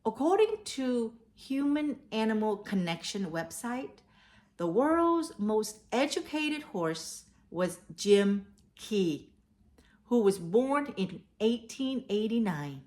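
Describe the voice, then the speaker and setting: A woman hosting a read-aloud for children, telling stories and giving advice in a deliberate way. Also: fairly high pitch, very animated, darkish tone. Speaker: host of a children's read-aloud storytelling show. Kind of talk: storytelling and advice addressed to children.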